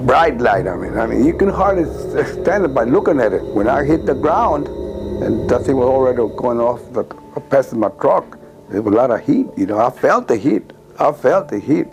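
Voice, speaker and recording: An older man from Texas recalls events informally as an eyewitness, halting and repeating himself as he tells it. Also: mid-range voice, gravelly, poor audio. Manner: halting, repetitive, animated storytelling with "man" and "you know". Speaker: eyewitness interviewee. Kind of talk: informal spoken recollection.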